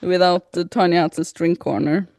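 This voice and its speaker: A woman's voice: fairly low pitch, steady tone, moderate pace, a little mellow, no room sound. A woman co-hosting a podcast in casual chat, informal and a little hesitant, often murmuring agreement. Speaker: podcast co-host.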